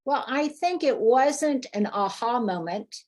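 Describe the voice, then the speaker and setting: A woman's voice: on the high side, animated, measured pace, a little mellow. A middle-aged woman, a garden designer, speaking as a podcast guest in a thoughtful, candid way and picking up speed as she explains. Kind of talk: reflective interview conversation.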